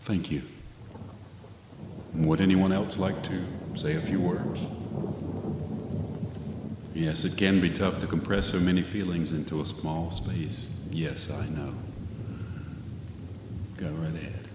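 A man playing a gentle, solemn memorial officiant in an audio drama, speaking in a measured, reflective way. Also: deep voice, steady tone. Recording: echoey hall, loud rain noise.

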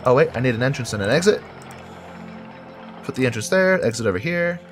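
A man with a head cold talks through his building with a stuffy, nasal voice, his pitch rising and falling a lot. He thinks aloud, chuckles and corrects himself as he goes, with clear game music running under him. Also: lively intonation, brisk. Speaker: game video creator. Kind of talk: casual gameplay commentary.

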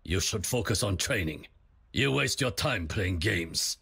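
An actor playing a stern, disapproving warrior who scolds curtly. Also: male voice, deep voice, lively intonation, measured pace, creaky, sharp tone, breathy edge, exaggerated voice. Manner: scolding, curt.

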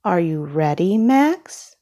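A bossy, caring rabbit big sister from a storybook, read by a woman. She gives firm instructions at an unhurried pace, with a mellow tone. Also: on the low side, sing-song, exaggerated voice.

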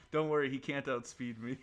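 A man's voice: mid-range voice, fairly even intonation, moderate pace. A young man in casual gaming banter, playful and jokey, talking as host and player over voice chat. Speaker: host and player of an online game battle. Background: game music.

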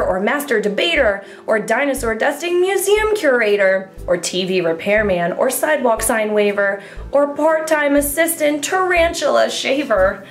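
A woman voicing an excitable, boastful young schoolboy in a storybook, theatrical and eager, declaiming in rhyme. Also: on the high side. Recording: echoey room with music underneath.

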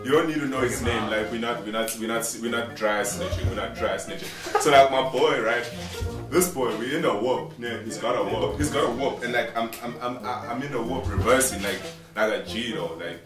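A man in his twenties tells a casual vlog story with slangy, jokey flair, often repeating himself for effect. His pitch sits in the middle for a man. He is recorded at a distance in a roomy space, with music playing behind him. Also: fairly quick. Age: 20s. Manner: slangy, jokey, repetitive, theatrical. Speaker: vlogger. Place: small indoor room at home. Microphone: camera mic a few steps away.

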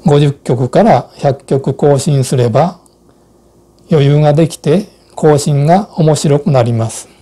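A man in his sixties reading a scripted account and instructions in a measured, formal way. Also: a little high, warm tone. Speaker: co-host of an amateur radio Morse code channel. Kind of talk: scripted personal narration and instruction.

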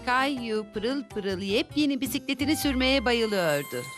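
A woman narrates a dubbed children's cartoon in a calm storytelling voice. Her voice sits a little high. Clear music plays under her. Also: moderate pace. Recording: with background music.

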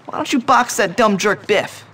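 Earnest, clever teen follower for a game cutscene, high-voiced. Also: male voice, moderate pace, pushed and bright, a little hiss or hum. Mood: advising. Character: eager, clever teenage schoolboy sidekick. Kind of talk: video game cutscene acting.

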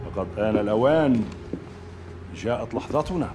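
A man's voice: mid-range voice, expressive, racing, close to an everyday voice. An actor playing a solemn, devout male militant conspirator in a dramatic video game cutscene. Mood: solemn, fervent. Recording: music behind.

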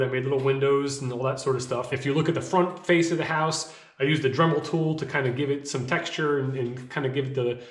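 Man in his thirties, casual vlog talk, slightly hoarse, rambling fillers, echoey small room. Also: medium pitch. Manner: conversational, rambling with fillers and restarts. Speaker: vlogger. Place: small home office, indoors.

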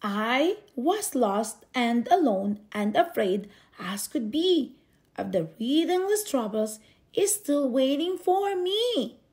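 A woman reading a children's picture book aloud expressively, with theatrical, drawn-out emphasis on key words. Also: high-pitched, very animated, darkish tone. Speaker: storybook reader.

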